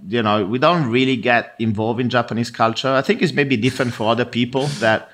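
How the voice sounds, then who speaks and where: A man's voice: medium pitch, fairly even intonation, a little fast, fairly bright tone. A man whose first language is Italian, a guest on a podcast, talking casually and candidly with many fillers and restarts. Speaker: guest, recruiter in Tokyo.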